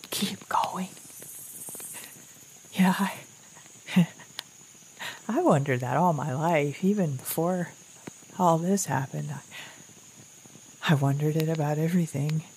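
An actress playing an anxious, guilt-ridden mother surviving alone after an apocalypse, in a halting, stammering audio drama monologue with a husky, gravelly voice. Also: fairly low pitch, darkish tone, a little hiss or hum, poor audio. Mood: weary. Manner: halting, stammering, repeating words.

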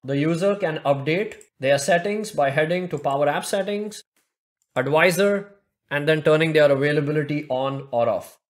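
A young man walks through a software demo step by step, his voice sitting a little higher than most men's. He explains each click at a steady, even pace, speaking into a desk microphone at his computer. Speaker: tech tutorial presenter. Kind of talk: screen-recorded software demo narration.

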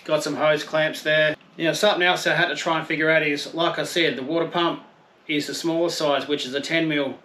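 A man's voice: on the high side, steady tone, a little fast, pushed and bright, a little hiss or hum. A man in his thirties with an Australian accent, chatting casually and self-deprecatingly as he unboxes and reviews camping gear outdoors. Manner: laid-back, chatty, self-deprecating, swears mildly. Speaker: camping vlogger. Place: outdoor patio, then a garage.

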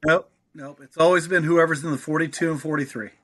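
Middle-aged male guest, slightly high voice, forceful bright tone, plain-spoken, casual. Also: fairly even intonation, fast talker. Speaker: podcast guest, racing fan. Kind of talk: casual chat.

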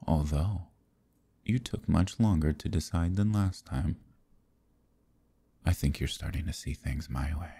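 Demon king, dark mellow tone, gravelly, condescending, softly threatening, seductive, ASMR roleplay. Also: heavily acted. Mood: smug, menacing. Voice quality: very deep, gravelly, breathy. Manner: slow, smug, softly threatening. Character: menacing, seductive male demon king, calm and condescending villain. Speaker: voice actor.

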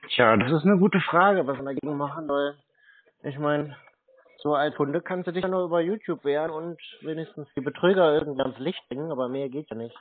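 A man's voice: on the high side, brisk. A man chatting casually in a laid-back way, as someone taking calls and agreeing along. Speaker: scam-baiting YouTuber taking calls. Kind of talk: casual phone chat. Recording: tinny, phone-like sound.

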